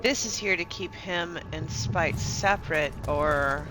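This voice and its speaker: Slow-talking woman streaming a game over music. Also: low voice, low-quality recording. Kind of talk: live game commentary.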